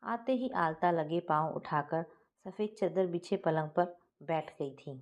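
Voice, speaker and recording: A woman's voice: fairly low pitch, unhurried. Woman narrating a family story. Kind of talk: scripted first-person family story narration.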